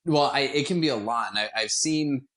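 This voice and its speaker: A man's voice: mid-range voice, brisk, fairly bright tone. An American man giving earnest, conversational interview answers as a guest, repeating words for emphasis. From Washington, D.C., United States. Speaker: guest, theater company founder.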